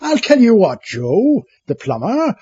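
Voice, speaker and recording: A man's voice: sits high, wildly swooping pitch, a little slow, warm tone, slightly rough, dry recording, muffled recording, full character voice. A man playing a pompous, gleeful mad scientist who wants to be dictator, haughty and cackling, in a comedy audio drama. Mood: gleeful scheming.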